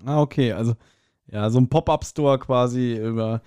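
A man's voice: medium pitch, moderate pace, mellow, clear, resonant tone, no echo, clean studio sound. A man co-hosting a podcast in casual chat, giving his opinions in slangy, explanatory talk. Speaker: podcast co-host.

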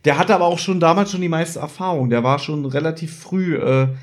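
Male podcast co-host, slightly high voice, casual and playfully argumentative. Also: brisk. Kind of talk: casual chat, audio drama review.

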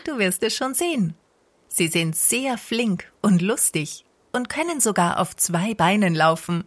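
A woman's voice: medium pitch, animated, a little slow. A woman playing a worried, caring mother squirrel in a children's audio drama, fretting and patiently explaining.

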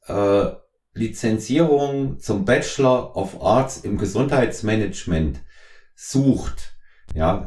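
A middle-aged man from East Germany hosting a conversational podcast interview, speaking in wordy, halting phrases. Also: lowish voice, a little mellow. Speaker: podcast host. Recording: roomy, echoing voice recording.

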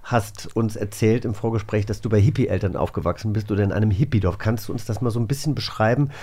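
A man's voice: fairly low pitch, fast talker, mellow. A man hosting a podcast, chatting casually and nerdily about audio dramas. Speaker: host of a radio play podcast. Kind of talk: casual nerdy chat.

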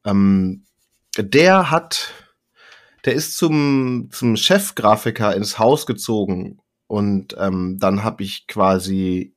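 A man's voice: on the low side, slow. A man talking as a podcast guest in casual conversation, rambling, interrupting himself and repeating phrases for emphasis. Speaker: podcast guest, poetry slammer and author.

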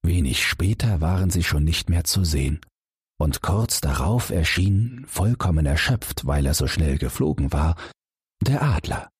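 A male narrator tells a bedtime fairy tale in a calm, expressive manner. His deep voice sounds strongly husky and moves widely in pitch, and the recording is dry and close. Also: unhurried, no echo. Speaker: audiobook narrator. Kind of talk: scripted fairy-tale reading.

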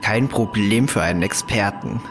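An actor playing an exasperated, sarcastic fugitive who swears and mutters, in a sci-fi audio drama. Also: male voice, on the low side, moderate pace, faint music behind, close to an everyday voice. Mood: urgent, wry. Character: harried, cursing male fugitive who peppers in French.

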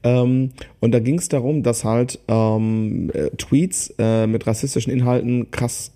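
A man chatting casually as a podcast co-host, rambling and halting as he thinks aloud. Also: medium pitch, steady tone, dark tone.

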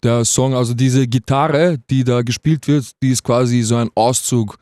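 A young man talks casually as an interview guest. His voice is mellow and relaxed, with a soft, dark edge. The sound is dry, as in a studio. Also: medium pitch, normal speed, dry recording. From Vienna, Austria. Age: 20s. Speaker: guest rapper being interviewed. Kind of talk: casual radio interview chat.

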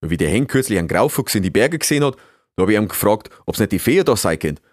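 Blustering Bavarian beaver scientist. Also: male voice, fairly low pitch, very animated, fast talker, dry recording, clean studio sound. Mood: musing, chatty. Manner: blustering, scolding, dialect-heavy. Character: gruff, bossy, eccentric old beaver scientist, easily exasperated.

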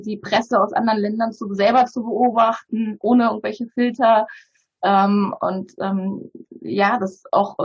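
A woman in her thirties, a native Bulgarian speaker, talking in an interview with many pauses and fillers. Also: medium pitch, a little mellow, breathy edge, muffled recording. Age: 30s. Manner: hesitant, many fillers and restarts. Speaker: interview guest. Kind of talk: casual interview talk.

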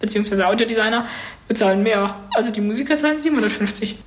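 A woman in her twenties from Berlin, explaining things informally in a casual interview with hesitant pauses. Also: fairly high pitch. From Berlin, Germany. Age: 20s. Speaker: interviewee, creative writing student and audio drama writer. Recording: roomy, echoing interview recording.

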